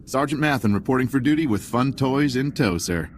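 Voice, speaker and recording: A man's voice: medium pitch, animated, a little fast, slight background noise, natural voice. An actor playing a cheerful male military sergeant in a video game, briefing his officer with upbeat wisecracks. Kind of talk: video game cutscene dialogue.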